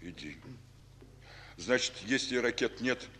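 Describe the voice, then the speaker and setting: An actor playing a weary, fatherly general in a Russian war drama, speaking in a pensive, halting way. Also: medium pitch, expressive, pushed and bright, a little hiss or hum. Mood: grave, fatherly. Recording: old reverberant radio recording with music.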